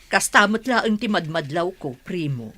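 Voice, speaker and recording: A woman's voice: fairly low pitch, expressive, measured pace, pushed and bright, full character voice. A woman acting a wife and mother in an Ilocano radio drama, with a Filipino accent. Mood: concerned. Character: wife and mother in a village household.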